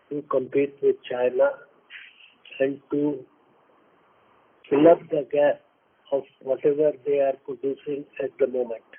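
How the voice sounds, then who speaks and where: Husky Indian-accented man on a phone line. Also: mid-range voice. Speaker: company executive. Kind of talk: unscripted earnings call remarks. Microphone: telephone conference line. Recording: narrow tinny phone-line audio, muffled.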